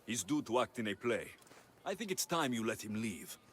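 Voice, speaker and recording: An actor playing a confident, dry-witted ancient Greek mercenary in a video game, direct and wry. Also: male voice, mid-range voice, sing-song, normal speed, a bit bright, slight background noise. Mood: assured. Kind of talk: video game dialogue acting.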